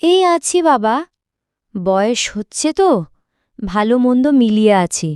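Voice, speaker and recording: Woman doing a middle-aged cartoon village mom, caring, bit of a worrier, lively pitch, dry recording. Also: unhurried, smooth, no echo. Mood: motherly concern. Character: aging village mother, caring, fretful about money and family. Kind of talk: cartoon folk-tale dialogue.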